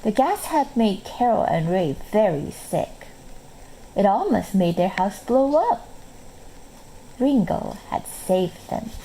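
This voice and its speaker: Slow woman storyteller with lively pitch. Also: a little hiss or hum, rough recording. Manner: expressive, measured storytelling for young children. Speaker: storyteller reading a children's book aloud. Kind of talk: scripted children's story narration.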